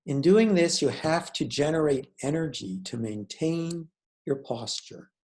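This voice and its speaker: A man leading a guided meditation as a teacher, speaking in a measured, deliberate way with pauses between phrases. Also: a little high, animated, darkish tone.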